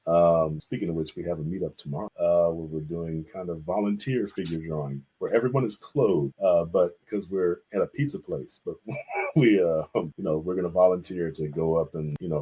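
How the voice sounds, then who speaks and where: Deep-voiced, slightly husky American man. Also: warm tone, low-quality recording. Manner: enthusiastic, quick to laugh. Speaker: visual artist, interview guest. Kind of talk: casual interview chat.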